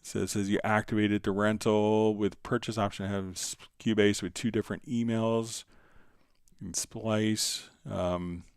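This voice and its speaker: A man hosting a livestream tutorial, answering viewer questions in a casual, halting, rambling way. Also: fairly low pitch, flat delivery, clean tone, no echo, studio quality. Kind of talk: unscripted software tutorial, casual explaining.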